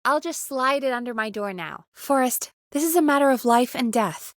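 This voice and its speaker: A woman's voice: high-pitched, normal speed, no echo, clean studio sound. A woman voice actor playing an earnest, dedicated radio producer who gets exasperated easily, in natural video game dialogue. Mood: stressed, exasperated. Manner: earnest, expressive, exasperated at times.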